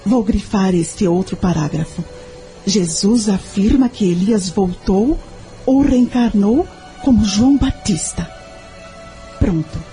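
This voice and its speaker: A devoted young mother in an audio novela, acted by a woman, with a mellow, soft-edged tone. She speaks earnestly and tenderly, full of gratitude, over a bed of music. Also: medium pitch. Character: devoted, grateful young mother, searching for faith. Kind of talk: audio drama acting.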